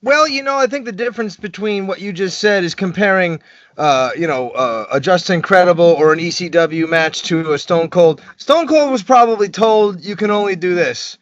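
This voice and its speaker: A man's voice: high-pitched, lively intonation, normal speed, lo-fi sound. A man talking loosely and profanely about wrestling on a video call, joking as he goes. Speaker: wrestler guest. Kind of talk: casual video call chat. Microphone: video call webcam.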